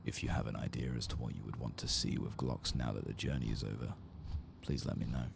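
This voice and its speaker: A man giving hushed, soft-spoken ASMR commentary as he plays through a game, at times close to a whisper. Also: extremely low pitch, fairly even intonation, quick pace. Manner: hushed, sometimes slipping into whisper. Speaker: streamer hosting a game playthrough. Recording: clear background noise and game ambience.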